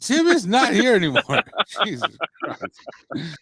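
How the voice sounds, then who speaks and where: A man's voice: high voice, huge pitch swings, slow, a bit bright, husky, no room sound. A man with an American accent hosting a casual podcast, with teasing, exasperated banter. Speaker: podcast host. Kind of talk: casual chat.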